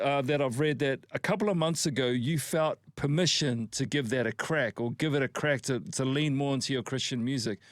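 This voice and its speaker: A man's voice: mid-range voice, no room sound, studio quality. A middle-aged man from New Zealand hosting a radio interview, chummy and teasing in an upbeat manner. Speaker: radio host. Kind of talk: friendly casual interview chat.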